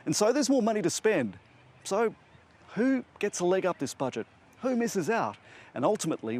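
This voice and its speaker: Male Australian field reporter, higher-pitched, very varied intonation, scripted live cross over faint background noise. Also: darkish tone. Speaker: news reporter. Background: faint ambience of a community club.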